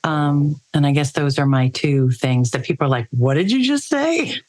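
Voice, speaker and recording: A woman's voice: low voice, expressive, normal speed, a little mellow. A woman from northern New Jersey with a light local accent, a songwriter and music educator chatting casually as a podcast guest, laughing easily. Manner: laughs often, easygoing. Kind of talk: casual chat.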